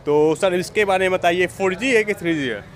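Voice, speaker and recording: A man's voice: high voice, a little fast. A young man hosting an upbeat walk-through vlog at an outdoor used-vehicle lot, echoing the seller's answers back. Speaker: vlogger host. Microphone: handheld lapel-style mic.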